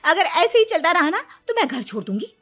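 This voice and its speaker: A woman's voice: very high voice, big pitch swings, brisk, a bit bright, soft background music, exaggerated voice. A woman voicing a quarrelsome young cartoon wife who is indignant, whining and easily offended. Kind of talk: cartoon dialogue.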